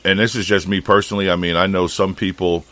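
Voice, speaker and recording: A man's voice: low-pitched, flat delivery, quick pace, fairly bright tone. An American man talking casually on a phone call, explaining things in an informal, rambling way. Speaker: fish shop owner and podcast host.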